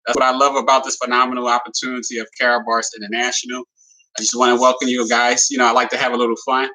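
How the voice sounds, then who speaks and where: A man's voice: mid-range voice, little pitch movement, rapid-fire, sharp tone, poor audio. A man hosting a casual livestream, talking to viewers in a plain, friendly way with lots of fillers. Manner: talks in a plain, simple way with many "you know" fillers; friendly, addresses viewers as "guys". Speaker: livestream host presenting a business opportunity.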